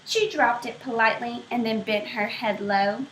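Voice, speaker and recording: Woman, picture-book reading, expressive, high bright voice, roomy echo. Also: slight background noise. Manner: expressive, pausing to quiz young listeners. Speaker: storybook reader.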